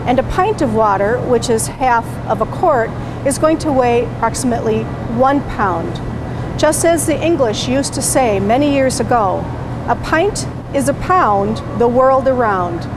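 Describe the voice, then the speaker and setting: A middle-aged woman with a high, clear voice explains things patiently, like a teacher guiding parents. She is recorded in a grocery store produce aisle, and a steady noise sits behind her words. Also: unhurried. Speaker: parent educator. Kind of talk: instructional presentation to camera.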